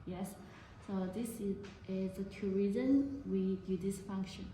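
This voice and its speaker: A woman giving a product demonstration to camera in halting, non-native English phrasing. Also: mid-range voice, dark tone. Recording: very echoey room, faint noise.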